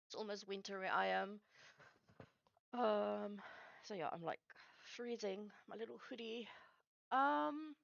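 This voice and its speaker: A woman whose first language is Afrikaans chats casually as she works on a livestream, haltingly searching for words. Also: mid-range voice, gravelly, dry recording, lo-fi sound. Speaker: streamer building game maps live. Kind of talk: casual chat while working.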